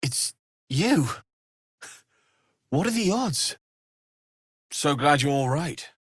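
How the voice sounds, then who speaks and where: Husky, earnest young fantasy blacksmith. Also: male voice, a little high, big pitch swings, takes it slowly, no echo, studio quality, full character voice. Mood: grateful, relieved. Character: earnest, kindly young male infernal blacksmith refugee. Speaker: video game voice actor. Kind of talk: scripted fantasy game dialogue.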